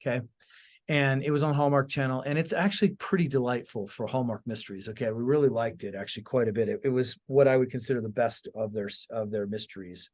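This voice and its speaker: Man, medium pitch, podcast host, casual conversational storytelling, emphatic asides. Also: fairly even intonation, fast, darkish tone. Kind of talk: casual chat.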